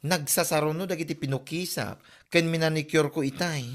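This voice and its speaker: A woman's voice: low-pitched, steady tone, fairly quick. A woman with an Ilocano accent playing a curious woman who dreams of becoming a beauty queen. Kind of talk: comedy drama acting.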